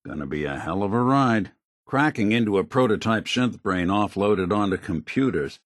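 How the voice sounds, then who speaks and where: A male actor plays a middle-aged synth gumshoe from a video game. His voice is low, with a dry, sardonic noir manner. He speaks in laconic, world-weary phrases, captured in a very dry studio sound. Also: lively intonation, moderate pace, dry recording, lightly acted. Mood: grave, resolute.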